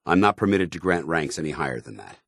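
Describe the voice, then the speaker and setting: An American man voicing a stern, earnest, duty-bound military commander in a video game, speaking in formal, measured phrases. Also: rumbling low, big pitch swings, no echo, studio quality, heavily acted. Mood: firm, proud. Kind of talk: video game companion dialogue.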